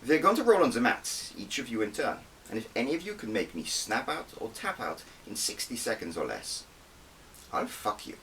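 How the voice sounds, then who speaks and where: A man voicing a cocky, petite female combat instructor in an audiobook, brisk, commanding and teasing. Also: medium pitch, huge pitch swings, bright, forceful tone, recorded from a distance, a little hiss or hum, voice pushed up, full character voice, rough recording. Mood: taunting, confident. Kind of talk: audiobook character dialogue.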